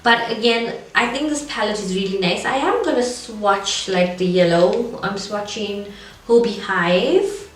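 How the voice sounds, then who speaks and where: A woman's voice: mid-range voice, a little slow. Woman with the flu, scratchy stuffed-up voice, rambling makeup chat, sounds far off in a roomy space. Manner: chatty, rambling, with fillers. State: hoarse from a cold, congested. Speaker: beauty vlogger. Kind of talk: casual makeup review. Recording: echoey room, far from mic, faint noise.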